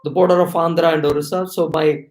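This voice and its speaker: A man's voice: a little high, fairly even intonation, quick pace, darkish tone, roomy sound. A man in his forties with an Indian English accent, whose first language is Oriya, hosting a live-stream talk in a chatty style full of fillers. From India, Odisha. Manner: chatty, many fillers like "you know" and "uh". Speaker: YouTube channel host, HR professional.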